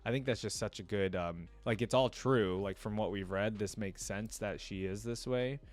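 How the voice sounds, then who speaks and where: A man's voice: fairly low pitch, moderate pace. A man hosting a reaction video, reading text aloud and commenting on it in an informal, thinking-aloud way. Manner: informal, thinking aloud between read passages.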